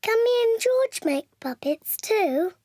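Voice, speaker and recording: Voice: very high-pitched, big pitch swings, slow, full character voice. A performer voicing a cheerful, bossy little girl pig in a British preschool cartoon, with simple childlike phrasing. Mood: eager. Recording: music behind the voice.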